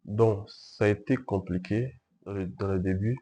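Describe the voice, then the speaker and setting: Young man, from ivory coast, interview guest, calm, hesitant, lowish voice. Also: normal speed, slightly rough. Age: young adult. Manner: hesitant, calm, frequent fillers and restarts. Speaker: guest entrepreneur. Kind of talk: casual interview answers.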